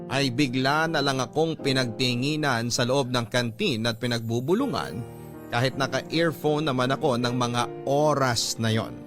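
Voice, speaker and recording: Guy narrating a radio drama story, medium pitch, music playing behind. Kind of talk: scripted first-person story narration.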